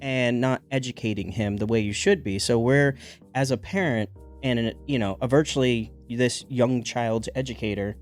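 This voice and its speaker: A man in his forties hosting a podcast, talking casually and thoughtfully, often pausing to find his words. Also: mid-range voice, soft background music. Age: 40s. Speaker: podcast host. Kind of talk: casual conversation.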